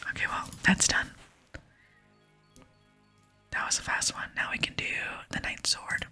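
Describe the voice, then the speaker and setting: An ASMR gaming host whispering casual commentary, softly murmuring thoughts aloud while playing. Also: a little slow, soft background music. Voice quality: soft breathy whisper. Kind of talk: ASMR gameplay commentary, reading dialogue aloud.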